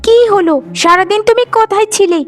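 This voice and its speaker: A woman voicing a selfish, sharp-tongued young twin bride in a cartoon, scolding and demanding. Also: very high voice, brisk, faint music behind. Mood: aggrieved, sulky. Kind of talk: animated moral-tale voice acting.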